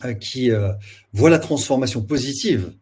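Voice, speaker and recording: A man hosts a webinar and asks long questions, stammering and repeating words as he goes. His voice has a husky, slightly raspy edge. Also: mid-range voice, expressive, moderate pace, darkish tone. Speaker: webinar host. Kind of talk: webinar interview.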